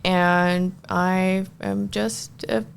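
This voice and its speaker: Woman, 30s, from Ukraine, non-native English speaker, podcast guest, gravelly fry, lowish pitch, chatty, laughs a lot. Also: extremely slow, a little hiss or hum. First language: not English. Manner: chatty and animated, laughs often, fills with "like". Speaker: guest, physician. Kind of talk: casual podcast interview.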